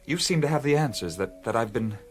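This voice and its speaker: An actor playing a bewildered, earnest adventurer with an American accent in a radio drama, hesitant and stammering. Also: male voice, medium pitch, animated, a little fast, soft background music, close to an everyday voice.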